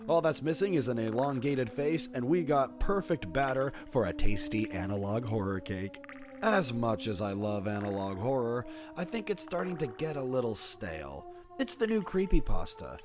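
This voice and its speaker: A man narrating a scripted video essay as a horror commentator, in a playful, wry, conversational way. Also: lowish voice.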